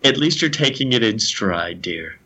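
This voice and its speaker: Hesitant man, lively pitch. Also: moderate pace, breathy edge. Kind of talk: casual chat.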